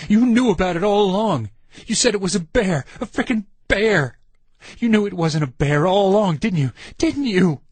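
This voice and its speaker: A man voicing a hot-tempered young male soldier who yells in shrill fury, in a horror storybook reading. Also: animated, moderate pace, husky, no room sound. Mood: furious. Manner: shrill furious yelling.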